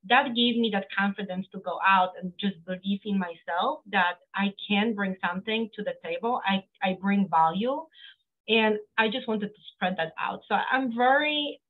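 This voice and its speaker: A woman's voice: medium pitch, moderate pace. A middle-aged woman with a Polish accent, a guest talking earnestly over a video call with many fillers and restarts. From Poland. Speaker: guest, accountant. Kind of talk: casual interview talk. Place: home office, indoors. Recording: phone-like narrow sound.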